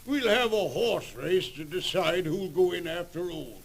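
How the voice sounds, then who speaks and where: A high, creaky old rancher. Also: male voice, a crowd in the background. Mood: blustering, amused. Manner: folksy, halting old-timer talk. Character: kindly, jovial old ranch owner and doting father. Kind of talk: old-time radio western acting.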